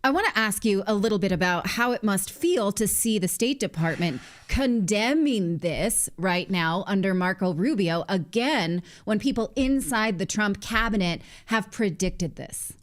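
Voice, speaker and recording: A woman's voice: mid-range voice, lively intonation, a little slow, few pauses. A middle-aged woman hosting a conversational political news interview, close on a studio microphone. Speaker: show host, interviewer. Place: indoor studio. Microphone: studio desk mic, close.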